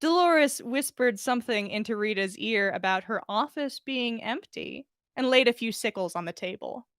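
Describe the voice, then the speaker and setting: A woman hosting a podcast, speaking in an expressive, casual storytelling style. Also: a little high, moderate pace, clear, resonant tone, no room sound, clean studio sound. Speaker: podcast host reading fan fiction. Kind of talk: expressive story reading and casual livestream chat.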